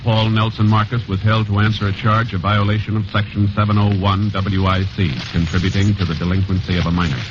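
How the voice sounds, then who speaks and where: A man reading a formal, measured radio announcement. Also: fairly low pitch, flat delivery. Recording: dull old radio broadcast with hiss.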